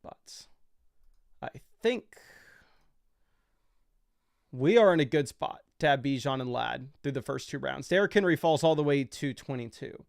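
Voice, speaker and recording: A man's voice: mid-range voice, animated, a little slow, creaky, pauses often, no echo, studio quality. A young man hosting a fantasy football livestream, talking loosely and casually with lots of fillers as he explains his picks.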